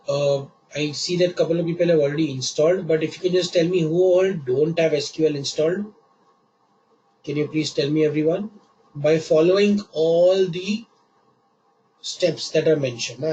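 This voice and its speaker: A man teaching a live online class while he has a cold, patient and repeating each step slowly. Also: fairly high pitch, a little mellow, dull audio. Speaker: online course instructor.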